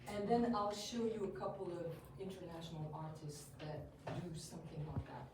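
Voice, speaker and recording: A woman's voice: lowish voice, fairly even intonation, moderate pace. Echoey, distant French woman giving a talk. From Brittany, France. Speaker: visual artist giving a talk, quilter. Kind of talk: informal artist talk to an audience. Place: indoor gallery, recorded from a distance. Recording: echoey, noisy gallery room, distant mic.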